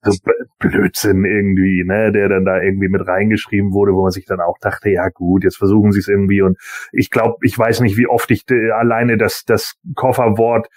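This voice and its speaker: A man's voice: on the low side, little pitch movement, normal speed, dry recording, clean studio sound. A man chatting on a fan podcast in an offhand, colloquial way with frequent tag questions. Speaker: podcast panellist. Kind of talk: casual chat.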